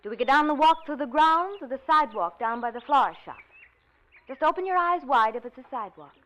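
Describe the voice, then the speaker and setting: An actress playing a cheerful American nurse, coaxing and teasing a silent patient with chirpy one-sided patter in an old radio drama. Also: female voice, sits high, expressive, fairly quick, a bit bright. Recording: dull old radio recording, background noise.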